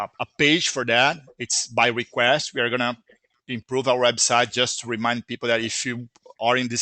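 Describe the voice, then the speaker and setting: A man's voice: medium pitch, sharp tone, no room sound. A man with a Brazilian Portuguese accent, a native Portuguese speaker, earnestly answering user questions at length with frequent restarts. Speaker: software company founder answering user questions. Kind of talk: live Q&A explanation.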